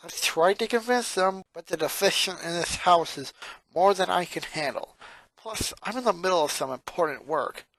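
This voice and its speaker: A performer voicing a four-year-old girl genius from a cartoon fan story, monotone and sarcastic, with pedantic, wordy phrasing. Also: mid-range voice, normal speed, dry recording, voice pushed up, poor audio. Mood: detached.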